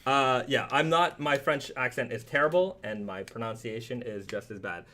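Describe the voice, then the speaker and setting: A man's voice: medium pitch, normal speed, bright, forceful tone. A man chatting casually as a live stream host, talking through products in a rambling, easygoing way.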